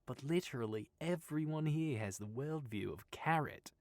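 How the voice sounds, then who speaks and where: A woman's voice: low voice, lively intonation, moderate pace, a little mellow, dry recording, pitched above the performer's own voice. A male narrator voicing a friendly, playful young woman with an Australian accent, in an audiobook. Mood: cheerful. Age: young adult.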